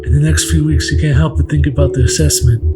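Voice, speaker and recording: A man giving calm, gentle, scripted ASMR narration of a fantasy story. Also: fairly high pitch, fast. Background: soft electronic ambient music.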